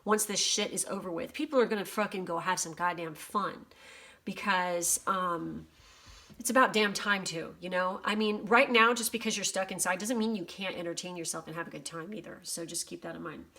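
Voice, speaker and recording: A woman with a slightly worn, hoarse voice giving a casual general oracle card reading, swearing freely. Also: fairly low pitch, normal speed, pushed and bright. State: voice going hoarse. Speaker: tarot reader.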